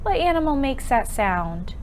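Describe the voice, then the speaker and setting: A woman hosting an interactive children's storytime with sing-along rhymes, speaking expressively and encouragingly, asking questions and leaving pauses for answers. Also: sits high, a little hiss or hum. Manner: expressive, exaggerated delivery for young children.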